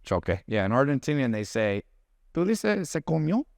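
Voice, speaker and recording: A man in casual conversation, telling lively stories and mimicking accents and friends' phrasing. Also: mid-range voice, normal speed, vocal fry. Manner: animated storyteller, mimics accents. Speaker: podcast guest, business mentor. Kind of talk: casual chat.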